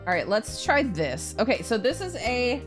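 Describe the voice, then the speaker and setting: Woman, game streamer, high voice, very lively pitch, exclamatory, repeats phrases, music behind. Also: moderate pace. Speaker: streamer playing a visual novel. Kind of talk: live gameplay commentary, casual chat.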